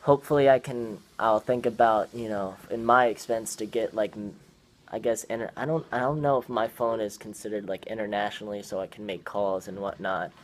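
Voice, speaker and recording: Hesitant young man, low voice. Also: steady tone, a little fast. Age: young adult. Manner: hesitant, many fillers and restarts. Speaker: young man asking for trip support. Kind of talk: casual conversation at a meeting.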